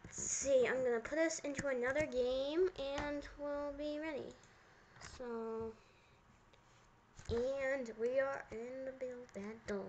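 A woman's voice: sits high, fairly even intonation, slow, low-quality recording. A young girl chatting in roleplay while hosting a gaming video. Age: child. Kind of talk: roleplay chat while playing a game. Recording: loud noise and clicks behind voice.

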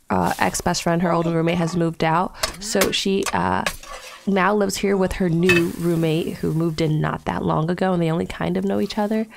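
A woman's voice: fairly low pitch, gravelly, a little mellow. A young woman with a stuffed-up nose narrating her life-simulation game casually, rambling with lots of fillers into a desktop microphone. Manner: chatty, rambling, with many fillers. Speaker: Let's Play creator. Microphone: desktop USB mic.

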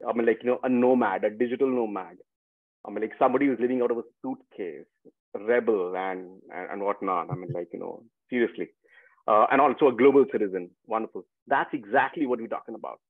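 Chatty host on a tinny dry line. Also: male voice, on the low side, fast talker, no echo. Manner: chatty, repeats "I mean, like". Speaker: webinar host. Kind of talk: hosting an online masterclass. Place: room with bookshelves, indoors. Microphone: headset on a video call.

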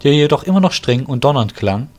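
Casting: male storyteller for fantasy radio play, mid-range voice, soft music underneath. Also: medium pitch, animated, fairly quick. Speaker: narrator. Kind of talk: scripted audio drama narration.

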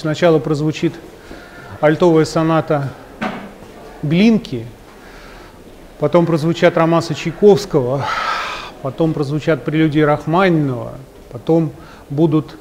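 A man's voice: a little high, darkish tone, slight background noise. A middle-aged male pianist interviewed on camera in a school hall foyer, speaking thoughtfully with many fillers, on a lapel mic. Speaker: pianist and concert organizer.

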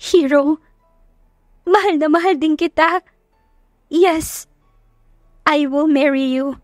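Narrator doing a sweet young filipina girlfriend, high voice, emotional and loving. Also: slow, no room sound, studio quality, faint music behind. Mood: tearful joy. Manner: emotional, affectionate. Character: sweet young woman, affectionate bride-to-be.

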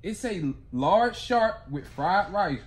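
A man's voice: high-pitched, lively intonation, takes it slowly. A young American man making a prank phone call in casual, slangy, mock-earnest talk. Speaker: prank caller. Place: living room, on a couch indoors. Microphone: camera a short distance away. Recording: noisy room, picked up by camera.

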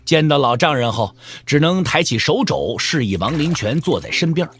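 Highly animated, higher-pitched male narrator. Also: moderate pace, a little hiss or hum. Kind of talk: dramatic scripted audiobook narration.

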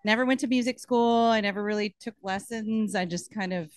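A woman in her thirties from Texas, a touring bass player chatting casually in an interview on a beach restaurant patio, laughing often and trailing off with fillers. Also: a little fast, dry recording. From Austin, Texas. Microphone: handheld mic.

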